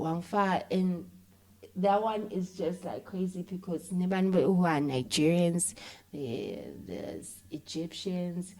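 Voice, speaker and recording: South African woman, lowish voice, conversational interview guest, animated storyteller who slips between languages. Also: poor audio.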